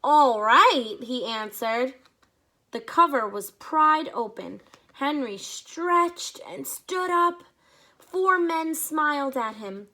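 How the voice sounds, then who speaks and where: A woman teacher reading a picture book aloud to children, with expressive pacing and short explanatory asides. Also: sits high.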